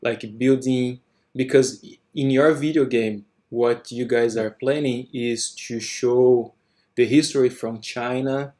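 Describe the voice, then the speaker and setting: A man's voice: mid-range voice, fairly even intonation, slow pace, warm tone. Host, male, Brazilian accent, careful and checking, plain mid voice. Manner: careful, checks understanding with the guest. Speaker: podcast host. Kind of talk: casual interview.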